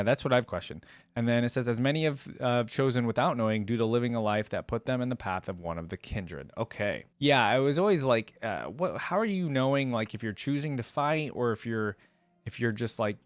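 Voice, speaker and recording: Male reaction creator, medium pitch, informal and inquisitive, reads aloud with off-the-cuff commentary, very dry recording. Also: no room sound. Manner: musing aloud, curious, informal asides. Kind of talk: casual reaction commentary, reading lore aloud.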